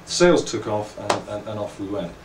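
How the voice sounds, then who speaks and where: A middle-aged man with an English accent, giving reflective, articulate interview answers in measured phrases and telling anecdotes as a company owner and designer. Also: lowish voice, lively intonation. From England. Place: indoors at a trade show. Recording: roomy, distant mic, faint background noise.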